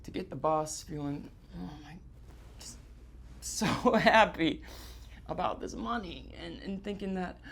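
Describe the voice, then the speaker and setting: An actor playing a distraught, guilt-ridden man on stage, confessing in halting, repetitive, stammered phrases in a live theatre monologue. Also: sits high, gravelly, a bit bright, full character voice. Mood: subdued, wistful. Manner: halting, stammering, repeating himself.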